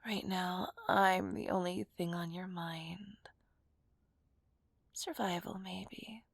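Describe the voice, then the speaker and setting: A woman playing a seductive, menacing villain kidnapper in a whispered ASMR roleplay, breathy, teasing and possessive. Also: lowish voice, fairly even intonation, no room sound, exaggerated voice. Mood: smug, possessive. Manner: slow, teasing, dominant.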